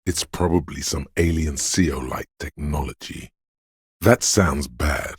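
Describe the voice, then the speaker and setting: A man voicing a paranoid, aggressive inner voice of primal fear in a video game, menacing, urgent and ominous, with a gravelly, husky sound. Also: a bass voice, takes it slowly, dry recording, clean studio sound, heavily acted. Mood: ominous, fearful. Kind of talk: video game voice acting, second-person dramatic narration.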